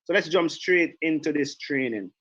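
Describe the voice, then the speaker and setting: Brief: Jamaican man, fairly high voice, rambling trainer on a muffled call. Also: animated, normal speed, a bit bright. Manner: chatty, repeats himself, goes off on tangents. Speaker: sales team leader giving a training. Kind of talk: casual online training call.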